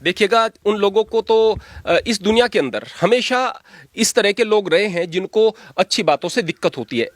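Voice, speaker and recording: A man's voice: high-pitched, animated, normal speed, dry recording. A man giving his opinions in an interview like a preacher, emphatic and exhorting, repeating phrases for stress.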